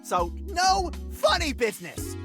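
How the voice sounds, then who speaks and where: A woman plays a bossy little cartoon policeman, very high in pitch and wildly swooping. The delivery is slow and exaggerated, full of suspicion and scolding, with music playing behind. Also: very animated, exaggerated voice. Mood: suspicious.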